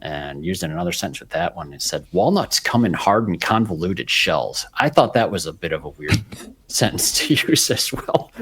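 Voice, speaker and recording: Forties guy hosting a podcast, lowish voice that swings around a lot, laughs easy. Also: very animated, moderate pace, a bit bright, slight background noise. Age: 40s. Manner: upbeat, laughs often. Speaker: podcast host. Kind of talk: casual panel chat and read ads.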